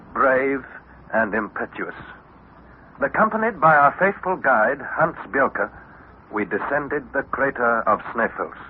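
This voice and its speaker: An actor playing an earnest young explorer, narrating a radio adventure serial in a deliberate, theatrical manner. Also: male voice, mid-range voice, natural voice. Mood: measured, recounting. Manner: deliberate, theatrical, measured delivery. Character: Harry, earnest young explorer narrating his underground ordeal. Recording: muffled, noisy vintage radio recording.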